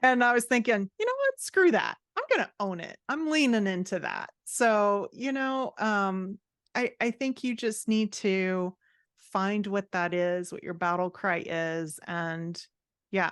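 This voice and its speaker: A woman's voice: medium pitch, expressive, measured pace, dry recording. Blunt, emphatic woman giving advice, dry close sound. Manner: emphatic, blunt, acts out quotes. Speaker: writing coach and author, podcast guest. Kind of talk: conversational interview, giving advice.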